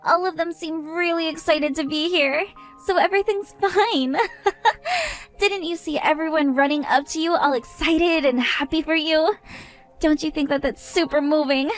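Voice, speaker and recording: A drunk young woman who taunts in a high, sing-song voice and breaks into giggles all the time, as a woman acts her out. Faint music plays underneath. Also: normal speed, lightly acted. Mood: mocking tipsy.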